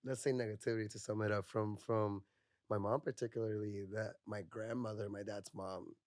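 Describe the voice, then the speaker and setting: Soft-spoken low-voiced man, reflective. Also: level tone, moderate pace, no echo, clean studio sound. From Puerto Rico. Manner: reflective, heavy use of "like" and "you know". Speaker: podcast guest, mental health counselor. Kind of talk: candid personal conversation.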